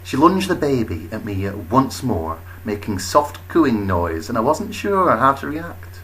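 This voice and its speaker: A man narrating a comic first-person story as a storyteller, expressive, with wry, deadpan understatement. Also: mid-range voice, measured pace, low-quality recording.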